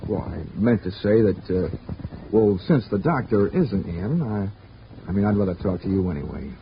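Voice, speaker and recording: Wisecracking investigator who flirts his way through a 1940s radio play; animated, muffled broadcast sound. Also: on the low side, moderate pace, slight background noise. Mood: flirty. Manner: wry, teasing, smooth-talking. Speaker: insurance investigator. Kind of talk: 1940s radio drama acting and narration.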